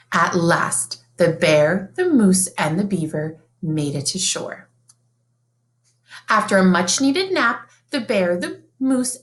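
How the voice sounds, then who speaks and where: A woman reads a picture book aloud to young listeners, her pitch swooping up and down as she tells the tale. Her delivery is sing-song and expressive, in the manner of a teacher at story time. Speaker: teacher reading aloud to children. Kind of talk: children's read-aloud storytelling.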